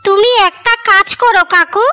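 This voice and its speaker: A woman's voice: very high voice, moderate pace, pushed and bright. A woman voicing a devoted, stubborn young working woman in a cartoon story, speaking in an earnest, pleading manner. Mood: eager. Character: devoted, stubborn orphaned young elder sister, working as maid. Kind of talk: Bengali cartoon story dialogue. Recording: music behind the voice.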